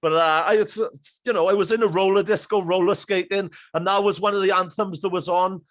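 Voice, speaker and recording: Enthusiastic high-voiced male podcast host. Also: steady tone, fairly quick, fairly bright tone. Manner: enthusiastic, rambling with fillers. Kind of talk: casual interview chat.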